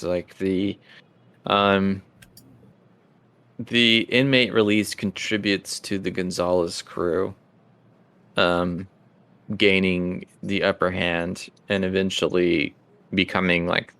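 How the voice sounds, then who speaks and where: A man's voice: low voice, a bit bright, clean tone, no echo. A man leading a casual group discussion as the game master of a tabletop game.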